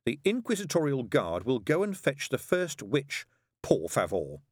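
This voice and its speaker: A man's voice: medium pitch, huge pitch swings, a little slow, no echo, clean studio sound, pitched above the performer's own voice. A man voicing a boy who leads his gang, firm and mock-solemn, in a storybook reading. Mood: decisive. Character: boy gang leader playing solemn, magisterial inquisitor. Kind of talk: audiobook character voice.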